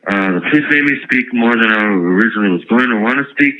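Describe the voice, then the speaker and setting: A man speaking haltingly and hesitantly as an inmate on a jail phone call, in a muffled recording. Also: mid-range voice, pushed and bright. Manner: halting, hesitant, filler-heavy. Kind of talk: casual phone interview.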